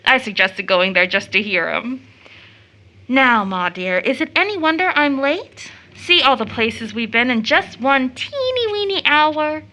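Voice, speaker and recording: A woman's voice: high-pitched, big pitch swings, measured pace, sharp tone, exaggerated voice, rough recording. A young American woman acting a playful, affectionate, impulsive daughter in a period audio drama, with effusive, teasing, girlish delivery. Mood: excited, teasing.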